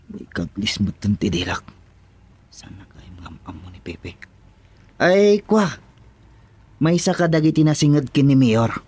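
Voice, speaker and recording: A man's voice: mid-range voice, big pitch swings, unhurried, pauses often, a little mellow, breathy edge. An actor playing a cautious, scheming henchman in a radio drama, speaking with an Ilocano accent. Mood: conspiratorial. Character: scheming henchman, cautious and loyal to his boss.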